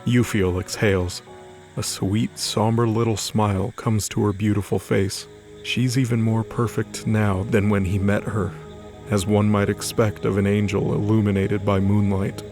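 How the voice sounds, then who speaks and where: Faint music plays beneath a man narrating an audio drama. His voice sits on the low side, and he reads scripted fiction as the storyteller. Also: flat delivery, normal speed.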